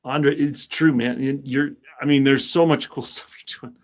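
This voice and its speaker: A man's voice: mid-range voice, fairly even intonation, normal speed. Chummy upbeat podcast host, a man. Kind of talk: casual interview hosting.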